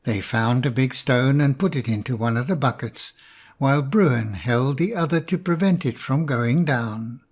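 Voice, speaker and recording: A man narrating a fairy tale in a measured, even reading. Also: mid-range voice, breathy edge. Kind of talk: storybook narration.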